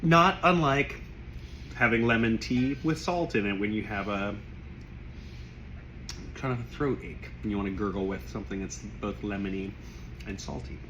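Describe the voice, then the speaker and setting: A man losing his voice, who rambles warmly through a casual wine tasting and keeps clearing his throat. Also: on the low side, normal speed, long pauses, noisy background. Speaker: wine shop owner tasting wines.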